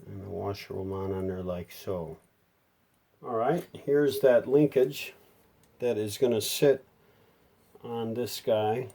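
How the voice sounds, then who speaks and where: Low, mellow-voiced man thinking aloud. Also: slow, vocal fry, long pauses. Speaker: hobbyist repairer presenting a how-to video. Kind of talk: unscripted hands-on repair narration.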